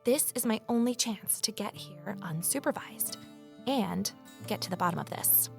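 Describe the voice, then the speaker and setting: A woman's voice: medium pitch, moderate pace, vocal fry, soft background music, close to an everyday voice. An actress playing a determined, curious woman investigator in a scripted mystery audio drama, with a wry, conversational manner. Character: determined, curious ex-journalist woman, defiant amateur sleuth. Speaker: narrator and lead character.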